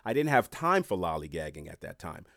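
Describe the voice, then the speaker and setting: A podcast host with a lowish male voice, recorded dry and close with no room sound. He is from Haiti and tells stories in an animated way, pushing up for emphasis and dropping low between points. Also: brisk, creaky, dry recording. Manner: animated storyteller, rising and falling in emphasis. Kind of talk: casual podcast interview.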